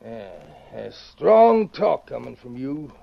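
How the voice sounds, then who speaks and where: An actor playing a bitter, scheming older uncle in an old-time radio western, with a gravelly American drawl and a wheedling, sneering manner. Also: male voice, medium pitch, sing-song, takes it slowly, full character voice. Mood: sneering, threatening. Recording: muffled vintage radio recording.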